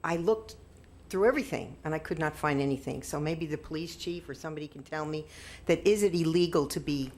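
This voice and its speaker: A woman's voice: sits low, brisk, darkish tone. A woman speaking during public comment at a council meeting, earnest and questioning.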